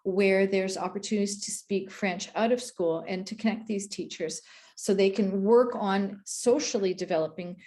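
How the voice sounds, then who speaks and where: A woman's voice: medium pitch, normal speed, dark tone. A woman from Quebec speaks on an education panel in a mid-range voice. She talks earnestly and conversationally, backing up and restarting phrases as she goes. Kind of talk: panel discussion.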